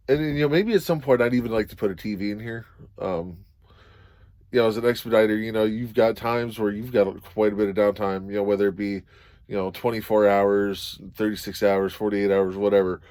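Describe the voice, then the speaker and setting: A man with a fairly low voice talks loosely and unhurriedly, filling pauses with ums. He is recorded inside a van, and the sound is close and dry with no echo. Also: a little mellow. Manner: rambling and easygoing, many ums and you-knows. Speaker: van expediting driver vlogger. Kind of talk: casual talking-head vlog.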